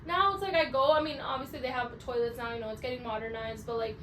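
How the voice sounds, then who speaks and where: A woman's voice: on the high side, fairly even intonation, fast talker, a bit bright. A woman in her twenties co-hosting a podcast, chatting frankly with lots of "like" and some swearing. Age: 20s. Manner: frank, swears freely, heavy use of "like". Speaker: podcast co-host. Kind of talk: casual chat. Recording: roomy echo, mic at a distance, faint hiss.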